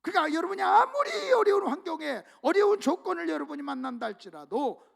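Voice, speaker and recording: A man preaching a sermon to a church congregation, leading them in call-and-response reading and swinging between hushed asides and loud emphatic exclamations. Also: very high voice, very animated, a little fast, creaky. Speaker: pastor. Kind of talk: sermon at a revival meeting. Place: church sanctuary.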